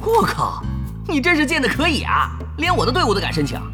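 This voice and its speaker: A man's voice: very high-pitched, wildly swooping pitch, a little fast, over music, full character voice. A man playing a petty, vengeful, hot-headed young guild gamer in a radio drama, indignant and huffy in manner. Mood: indignant, gloating. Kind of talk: animated radio drama dialogue.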